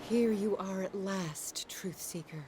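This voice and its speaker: An actress playing a fervent, solemn female prophet who speaks in measured, cryptic tones in a video game cutscene. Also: mid-range voice, heavy background noise, natural voice. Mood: solemn, confessional. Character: fervent female prophet revealing cosmic truth to a chosen seeker.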